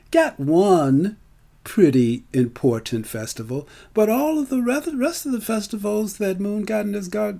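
A man's voice: sits high, creaky, warm tone. A man telling a personal story in a conversational monologue, emphatic and theatrical, with rhetorical questions. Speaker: film festival marketer and storyteller.

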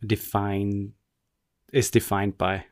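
A man's voice: on the low side, flat delivery, slow pace, no echo, studio quality. A man from Austria co-hosting a podcast, chatting casually in a rambling, self-mocking way. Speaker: podcast co-host. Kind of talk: casual chat.

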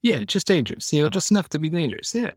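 A man's voice: fairly high pitch, sing-song, rapid-fire, dry recording, clean studio sound. A man with a Midwestern American accent, chatting and telling personal stories as a podcast guest in an easygoing, joking way. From Iowa, American Midwest. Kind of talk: casual chat, personal storytelling.

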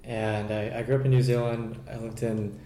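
Soft-spoken young man, echoey room. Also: lowish voice, level tone, moderate pace, slight background noise. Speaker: guest.

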